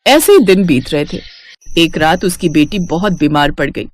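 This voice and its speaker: Expressive woman story narrator. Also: lowish voice, normal speed, no room sound, studio quality. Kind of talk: scripted children's story narration.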